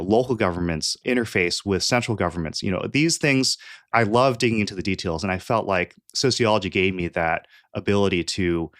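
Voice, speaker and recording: A young adult man from California, a guest talking through his background in a relaxed podcast interview, with lots of "you know" fillers and light laughs. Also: on the low side, dry recording, studio quality. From California, USA. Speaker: guest expert.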